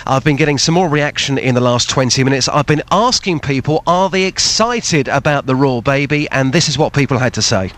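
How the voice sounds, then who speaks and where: A man's voice: mid-range voice, lively intonation, brisk, few pauses, fairly bright tone. A man with an English accent reporting live for radio, chummy and emphatic, with vox pops and banter. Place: outdoors on a town street. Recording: faint outdoor traffic noise.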